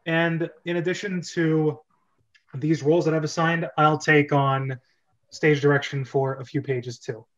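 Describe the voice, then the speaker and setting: Guy hosting a script read, bit high voice, jokey n keeps cutting in w asides, muffled audio. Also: level tone, a little slow. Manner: chatty, joking, self-interrupting asides. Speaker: host and script reader. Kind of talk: casual group chat and stage-direction reading.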